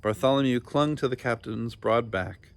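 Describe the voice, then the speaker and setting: A man narrating a children's storybook in an expressive, deliberate and dramatic storytelling style. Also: mid-range voice, vocal fry. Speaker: storybook reader.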